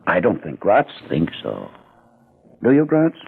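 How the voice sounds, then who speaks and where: An actor playing a cold, commanding ringleader in an old radio crime drama, speaking in a clipped, sly and domineering way. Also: male voice, fairly low pitch, wildly swooping pitch, measured pace, gravelly, husky, full character voice. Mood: menacing. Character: commanding middle-aged male ringleader, cold and menacing. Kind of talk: 1940s radio acting. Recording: tinny old radio broadcast.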